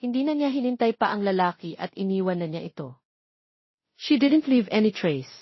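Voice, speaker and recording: A female audiobook narrator reads scripted romance. Her voice is mid-pitched with a slightly husky texture. The recording is close and dry but a little dull. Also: lively intonation, normal speed, dry recording.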